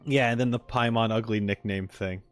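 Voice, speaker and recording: A man's voice: fairly low pitch, steady tone, normal speed, smooth, no echo. A man streaming a casual reaction, chatting in an informal, hesitant, musing way close to the microphone. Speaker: streamer reacting to game videos. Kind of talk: casual livestream reaction chat.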